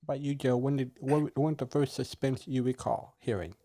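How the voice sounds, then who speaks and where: A man's voice: mid-range voice, fairly even intonation, moderate pace, warm tone. A man hosting a casual interview, introducing his guests and putting questions to them. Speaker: host, interviewer.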